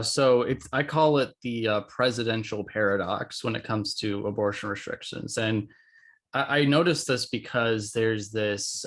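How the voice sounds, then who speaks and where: A man hosting a casual podcast interview, speaking haltingly as he searches for words. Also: lowish voice, fairly bright tone. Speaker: podcast host.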